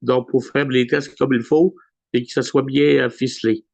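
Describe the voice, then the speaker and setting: A man with a Québécois accent hosting a weekly video call in a relaxed way, with many pauses and filler sounds. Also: medium pitch, vocal fry, no echo. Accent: Québécois French. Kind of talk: casual live address on a video call. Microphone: computer mic on a video call. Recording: dull lo-fi video call audio.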